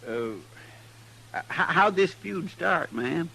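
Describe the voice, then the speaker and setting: An actor playing a naive, folksy deputy sidekick with an in a radio Western. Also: male voice, on the high side, animated, slow, creaky, sharp tone, breathy edge, exaggerated voice. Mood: polite, curious. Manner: eager, folksy, polite. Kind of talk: old-time radio Western acting. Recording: noisy old radio recording.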